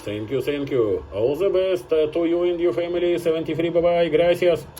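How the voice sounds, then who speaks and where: Man, somewhat high voice, amateur radio operator, polite stock phrases, spelled call signs, heavy static noise. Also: fairly quick. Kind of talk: formulaic ham radio contact exchanges with spelled call signs. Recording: very noisy radio static and clicks.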